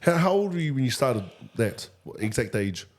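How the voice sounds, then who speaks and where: A male podcast host with a New Zealand accent and a medium-pitched voice. He interviews in a casual, matey way, full of enthusiasm and informal slang. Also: very animated, moderate pace.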